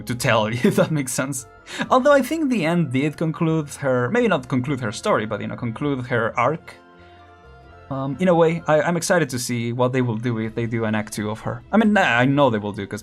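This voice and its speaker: A man chatting casually on a game stream, rambling with many fillers, restarts and small laughs. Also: medium pitch.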